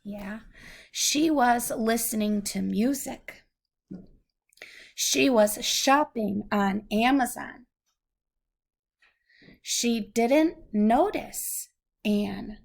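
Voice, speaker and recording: A woman's voice: on the high side, drawn-out words, creaky, pauses often. A woman reading a story aloud to a young child, patiently explaining things in simple, teacherly phrases.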